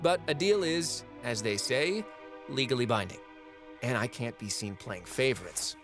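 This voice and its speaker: A man plays a cheeky minor god in a fantasy audio drama, all sardonic, casual banter and wheedling. His voice sits at an ordinary male pitch, with music clearly playing behind him. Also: expressive, moderate pace, close to an everyday voice. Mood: pressing, irritated. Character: flippant, fast-talking minor trickster god, lately a frog.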